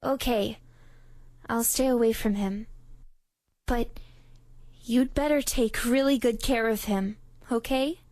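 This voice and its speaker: A woman voicing a sweet, anxious teenage schoolgirl in a game, who speaks softly and politely and often pleads or sounds shy. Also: fairly high pitch, fairly even intonation, slow, long pauses, dry recording, clean studio sound. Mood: gentle. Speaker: voice actress for a game character. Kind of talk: game voice lines.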